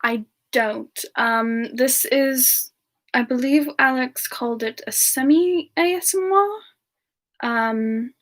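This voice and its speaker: Slow, high-voiced gentle demon woman a woman acts out. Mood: shy, sweet. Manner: soft-spoken, hesitant, often chuckling. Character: gentle, romantic female demon reborn from a past life. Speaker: streamer answering viewer questions in character. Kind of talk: semi-ASMR roleplay Q&A.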